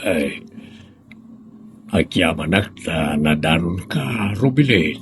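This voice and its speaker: A man's voice: sits low, expressive, slow pace, noisy background, pitched below the performer's own voice, exaggerated voice. A man voicing a teasing older man in a radio drama, bantering and protesting with a Filipino accent. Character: teasing older man insisting on being called big brother.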